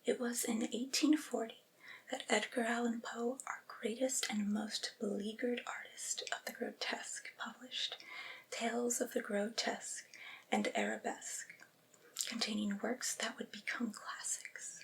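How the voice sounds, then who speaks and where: Woman whispering softly, breathy ASMR reading. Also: on the high side, little pitch movement, measured pace, low-quality recording. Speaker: ASMR creator reading aloud.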